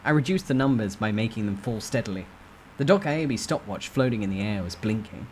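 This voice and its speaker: A man's voice: fairly low pitch, animated, fairly quick, darkish tone, a little hiss or hum. A man giving a dramatic first-person storytime reading of a novel. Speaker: narrator reading a novel aloud.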